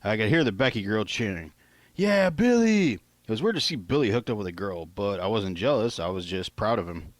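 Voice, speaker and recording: Low-pitched man reading a story, dry sound. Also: a little fast, hardly any pauses, no echo. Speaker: author reading own novel aloud. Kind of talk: first-person audiobook narration.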